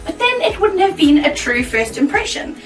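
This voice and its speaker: A woman with a croaky, worn voice chatting casually to camera as a baking vlogger, bubbly and laughing easily. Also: high-pitched, sing-song, moderate pace, reverberant, recorded from a distance, soft background music. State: croaky, losing her voice.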